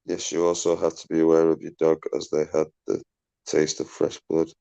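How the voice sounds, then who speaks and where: A man hosting a casual live stream, swearing freely, laughing a lot and bantering with callers in a loud voice. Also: monotone, a little fast, gravelly, low-quality recording. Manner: says he has a deep, loud voice. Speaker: livestream host.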